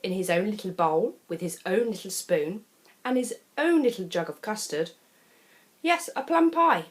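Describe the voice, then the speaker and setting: Woman, children's storyteller, very lively swooping intonation, theatrical, chatty asides. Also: mid-range voice, normal speed. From England. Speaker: storyteller reading a children's picture book. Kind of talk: expressive children's storybook narration.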